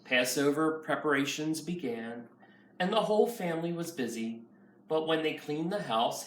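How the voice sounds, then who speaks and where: A man reading a children's picture book aloud as a nursery school teacher. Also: a little high. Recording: roomy, far from mic, faint noise.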